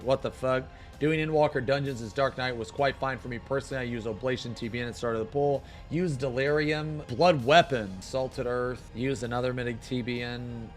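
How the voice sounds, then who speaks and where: A man's voice: medium pitch, fairly bright tone. A man streaming casual reaction commentary, reading posts aloud in a blunt, sarcastic way with plenty of swearing. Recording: background music. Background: music.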